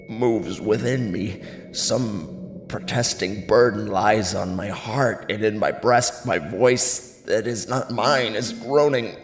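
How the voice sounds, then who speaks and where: A grave, anguished father from classical tragedy played by a man, declaiming slowly and grandly in halting verse. The voice rings through a long hall reverb with music clearly playing underneath. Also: mid-range voice, natural voice. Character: anguished ailing father, estranged brother of a tyrant king.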